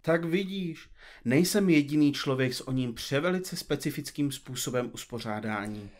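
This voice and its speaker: A man's voice: medium pitch, expressive, a little mellow, a little hiss or hum, natural voice. An actor playing a playful, wry male detective sidekick in an audio drama, with a theatrical way of talking. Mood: teasing.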